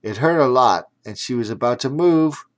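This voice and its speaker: A man's voice: mid-range voice, lively intonation, normal speed. A man narrating a children's audiobook theatrically, breaking off for chatty explanatory asides.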